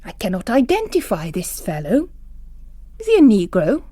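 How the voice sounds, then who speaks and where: A woman's voice: mid-range voice, huge pitch swings, moderate pace, dark tone, no room sound. A woman voicing a jovial but bullying, pompous male bishop with a declamatory, demanding manner in an audio story. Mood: brusque.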